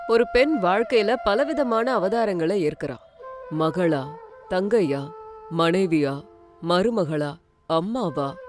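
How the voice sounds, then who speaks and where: A woman's voice: on the low side, darkish tone. A woman narrating a scripted family story, speaking directly to her listeners as a storyteller.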